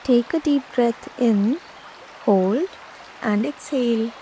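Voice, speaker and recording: A woman leading a guided meditation, giving soothing, measured instructions with long pauses between phrases. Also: fairly high pitch, mellow, a little hiss or hum, poor audio. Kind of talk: slow guided meditation narration.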